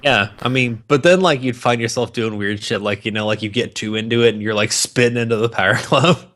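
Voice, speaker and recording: A man co-hosting a podcast in casual, joking banter, animated and quick to swear. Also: medium pitch. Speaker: podcast co-host.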